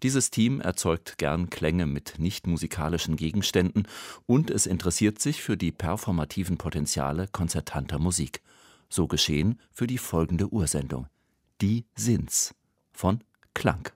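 A man's voice: sits low, lively intonation, normal speed, a little mellow, dry recording. A man presenting a radio programme, reading a scripted introduction in a studio.

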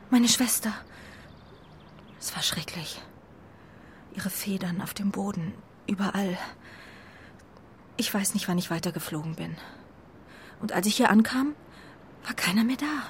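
An actress playing a proud but shaken young homing pigeon in a children's radio play, earnest and hesitant, often dropping into a hush. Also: female voice, mid-range voice, expressive, measured pace, pauses often, a little hiss or hum, natural voice. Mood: frightened, grieving.

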